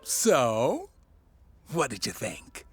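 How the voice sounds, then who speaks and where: An actor playing a kindly, gently teasing man in a naturalistic audio drama. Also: mid-range voice, huge pitch swings, drawn-out words, soft background music, heavily acted.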